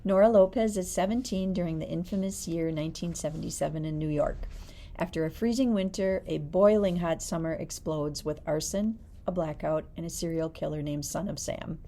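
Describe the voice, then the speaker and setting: A woman's voice: sits low, steady tone, normal speed, vocal fry, warm tone, slight background noise. A woman librarian hosting a book talk, reading prepared blurbs aloud with some light chat. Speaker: librarian host.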